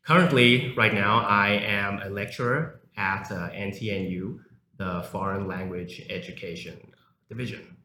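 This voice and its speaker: A man's voice: fairly low pitch, a little slow, bright, forceful tone. A young man who grew up in South Africa, a lecturer talking casually as an interview guest, with frequent filler words. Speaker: guest, university English lecturer. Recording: echoey room, faint background noise.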